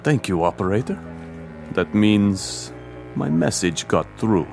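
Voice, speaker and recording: A man's voice: low voice, expressive, slow, over music. An actor in a radio drama playing a wary, controlled man making a telephone call. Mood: tense, satisfied.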